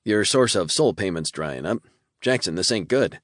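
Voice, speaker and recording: A man's voice: sits low, expressive, moderate pace, fairly bright tone, dry recording, studio quality. A man voicing a breezy, folksy male demon who runs his business coldly and calls people Hoss.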